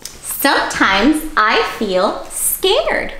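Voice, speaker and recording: High, animated woman reading to kids. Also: extremely slow, reverberant, background noise. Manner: animated, exaggerated child-directed delivery with gasps and playful questions. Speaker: teacher and story-time host for young children. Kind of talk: interactive children's read-aloud.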